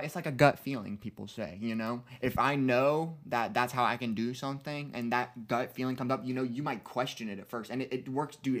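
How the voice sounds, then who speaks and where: A man in his early twenties talking casually and reflectively on a podcast, earnest and rambling, with plenty of slang. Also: medium pitch. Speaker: podcast co-host.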